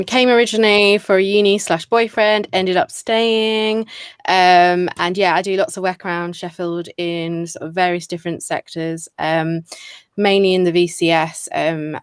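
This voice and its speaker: A woman, a community organiser and founder, speaking on a live-streamed panel with animated storytelling that jumps high when she acts out reactions, heard over a video call. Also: clear, resonant tone, dry recording, studio quality.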